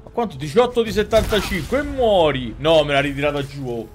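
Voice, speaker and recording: A man's voice: on the high side, lively intonation, fairly quick, a little hiss or hum. A man in his thirties with a Roman accent giving slangy, exclamatory live commentary as a game streamer, talking to his chat and characters. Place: indoors at a streaming desk. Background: game music.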